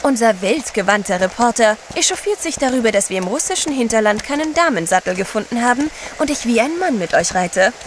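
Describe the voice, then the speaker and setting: An actress playing a spirited, self-possessed adventurous wife in an audio drama, wry and composed. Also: female voice, on the high side, animated, brisk, hardly any pauses, a little hiss or hum, close to an everyday voice. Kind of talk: German radio play acting.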